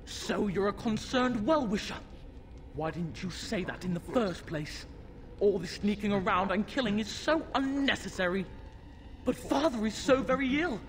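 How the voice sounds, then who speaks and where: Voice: medium pitch, animated, normal speed, a little hiss or hum, natural voice, low-quality recording. A performer playing a demon-possessed young boy in a fantasy game, haughty, mocking and commanding. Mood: petulant menace.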